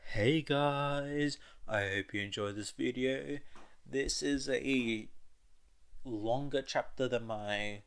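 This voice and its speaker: A man's voice: medium pitch, takes it slowly, vocal fry, clear, resonant tone. A man narrating fiction as an audiobook reader, with casual asides. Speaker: podfic narrator.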